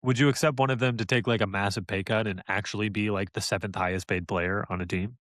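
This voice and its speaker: A man's voice: lowish voice, steady tone, fast, fairly bright tone, no room sound. Joking man with dry, close sound. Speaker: podcast host. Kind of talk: casual podcast chat.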